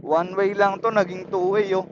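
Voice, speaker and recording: A man's voice: high voice, little pitch movement, normal speed, slight background noise. A man with a Filipino accent chatting casually as a motovlogger, talking through a helmet intercom microphone while riding. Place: outdoors on a motorcycle on the road. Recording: muffled helmet intercom mic.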